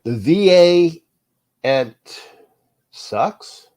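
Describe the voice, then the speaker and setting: A man's voice: a little high, expressive, extremely slow, darkish tone. A man hosting a sports talk livestream, stuffy and nasal from congestion, ranting bluntly and reading out chat messages. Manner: frustrated, blunt, repeats himself, reads chat aloud. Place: cold indoor room.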